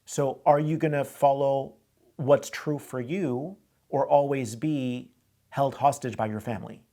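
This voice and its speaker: A man's voice: mid-range voice, fairly even intonation, a little slow, warm tone. A man hosting a call-in advice show, coaching a caller in a direct way and often repeating himself. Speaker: host giving advice.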